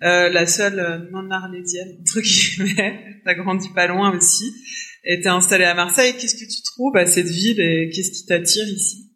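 A male podcast host with a very high-pitched, sharp-edged voice. He interviews conversationally, building his questions haltingly with frequent restarts. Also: fairly even intonation.

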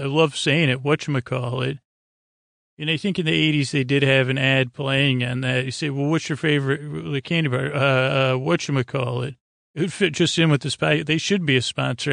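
An American man hosting a sleep podcast, rambling in a low-key, halting way and trailing off mid-thought. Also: gravelly, a bit bright, no room sound, studio quality. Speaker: podcast host.

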